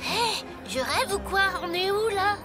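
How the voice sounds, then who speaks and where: A woman voicing a plain-spoken child in a cartoon, with loud music behind. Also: very high voice, lively intonation, a little slow. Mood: relieved, puzzled. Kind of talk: cartoon voice acting.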